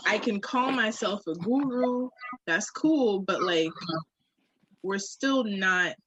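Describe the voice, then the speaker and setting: A woman's voice: mid-range voice, lively intonation, slow, fairly bright tone, dull audio, slight background noise. A woman co-hosting a candid podcast conversation, speaking in a reflective, emphatic and informal way. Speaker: podcast co-host.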